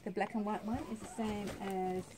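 A woman's voice: medium pitch, level tone, moderate pace, noisy background. A middle-aged woman with an Australian accent, a farm stay host casually explaining the animals to guests outdoors. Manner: chatty, informative, easygoing. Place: outdoor farmyard by chicken coops. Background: clucking chickens and roosters.

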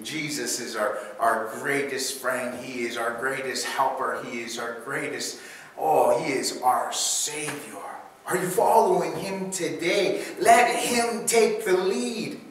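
A middle-aged man preaching a sermon as pastor, earnest and halting with restarts, recorded by a far camera in a dim church hall. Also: mid-range voice, a bit bright, slight background noise. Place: dim church hall before a projection screen. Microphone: far camera, no close mic.

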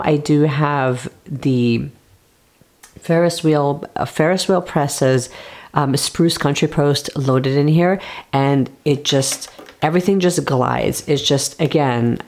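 A woman's voice: low voice, gravelly, darkish tone. A woman hosting a stationery channel in a casual, chatty showcase, her voice still recovering from being lost. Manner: chatty, conversational. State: voice recovering after losing it. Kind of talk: casual product showcase to camera.

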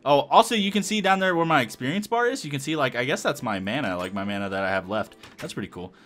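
Bright, lively young man gaming. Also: mid-range voice, fast talker. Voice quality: hoarse-sounding. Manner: rambling, thinking aloud with many fillers. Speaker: Minecraft let's play host. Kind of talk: casual gameplay commentary.

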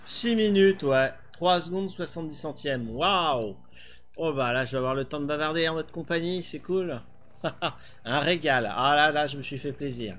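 Relaxed chatty man streaming a racing game. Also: on the high side, moderate pace. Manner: relaxed, chatty, thinks aloud with many fillers. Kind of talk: live gameplay chat.

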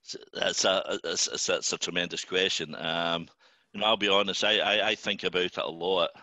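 A man's voice: low voice, steady tone, normal speed, bright, forceful tone, no echo. An older Scottish man with a Glasgow accent, speaking informally to students as a guest executive, often repeating words as he starts sentences. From Scotland.

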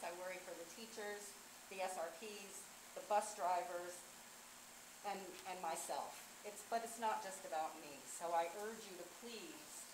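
A woman making a public comment at a board meeting, measured and repeating phrases for emphasis. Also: fairly low pitch. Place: large indoor board meeting chamber. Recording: echoey, noisy meeting room.

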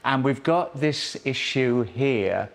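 An older male auditor asks short, probing questions in a factory office. His voice sits at an ordinary pitch, picked up by a close clip-on mic. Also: lively intonation, slow pace, creaky.

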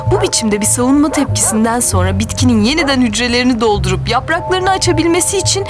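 A woman's voice: a little high, animated, fast, over music, lightly acted. A woman playing a patient, kindly mother who explains things to children in a cartoon. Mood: patient explaining. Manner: explanatory, gentle.

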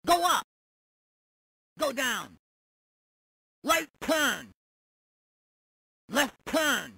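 An actress playing an alert spaceship announcer in a video game, giving short, emphatic warnings. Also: female voice, high voice, very animated, extremely slow, creaky, sharp tone, no room sound, studio quality, full character voice. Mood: urgent alert.

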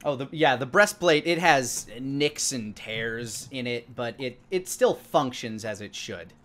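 A man in his thirties narrating a tabletop game as dungeon master, halting and pausing as he goes. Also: mid-range voice, lively intonation, a bit bright. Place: indoor room, online game stream. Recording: clear background noise on mic.

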